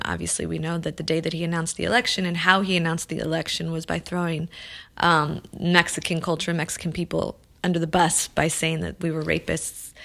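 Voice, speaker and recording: A woman's voice: lowish voice, creaky, fairly bright tone. A woman who grew up in Los Angeles, speaking as an immigrant rights advocate in an earnest, impassioned conversation. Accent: Los Angeles American English. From Colombia. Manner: impassioned, restarts phrases, builds to emphatic peaks.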